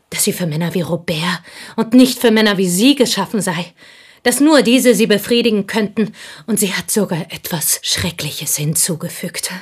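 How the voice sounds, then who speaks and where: A woman's voice: mid-range voice, breathy edge. Bitter small-town schoolteacher woman, radio drama, intense confessional delivery. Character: bitter, confessing French village schoolteacher, woman, jealous confidante. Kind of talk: intimate radio play acting in German.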